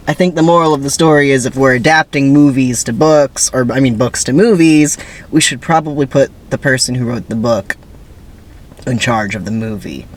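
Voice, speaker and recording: A man's voice: medium pitch, a little hiss or hum. A man giving casual, rambling commentary to viewers in a wry, conversational way with emphatic asides. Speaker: video creator introducing a dramatic script reading.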